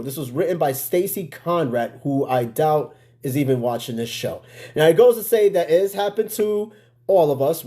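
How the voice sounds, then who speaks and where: A man's voice: fairly high pitch, dark tone. An American man hosting a podcast in casual livestream chat, slangy and prone to swearing, with conversational asides to his listeners. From United States. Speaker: podcast host.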